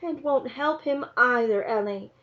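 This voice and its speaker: Woman reading as strict worried country mom, firm and emphatic, high voice, bit lo-fi. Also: unhurried, a bit bright. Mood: firm, exasperated. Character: stern, worried rural mother scolding her child. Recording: slightly dull, lo-fi sound.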